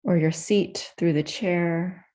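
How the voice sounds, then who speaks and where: A woman leading a guided meditation in a gentle, unhurried manner with soft pauses between phrases. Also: on the low side, fairly even intonation.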